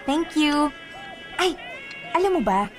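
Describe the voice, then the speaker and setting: An actress playing a guileless, playful young woman in a radio drama, chirpy and expressive. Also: sits high, slow. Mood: playful, sweet. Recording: faint music behind.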